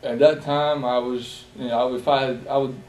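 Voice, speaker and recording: A young man from Bosnia in a personal interview, speaking haltingly with many fillers and restarts. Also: mid-range voice, fairly even intonation, a little mellow. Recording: roomy echo, distant from the mic, faint hiss.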